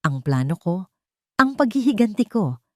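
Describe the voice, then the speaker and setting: A woman's voice: medium pitch, very animated, moderate pace, dry recording, clean studio sound. A woman with a Filipino accent narrating a dramatic first-person story in an emotive storytelling manner.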